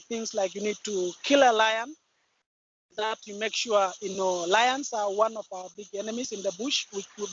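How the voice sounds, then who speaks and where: A man from Tanzania with a Tanzanian accent, giving an informal personal account in a halting, explanatory way and often repeating himself. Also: high voice. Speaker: guest conservationist. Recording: muffled internet call with steady background noise.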